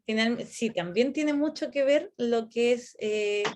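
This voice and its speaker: A woman teacher with a Chilean accent, leading a class discussion in a measured, reflective way. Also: on the high side, a little mellow, a little hiss or hum. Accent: Chilean Spanish. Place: online class.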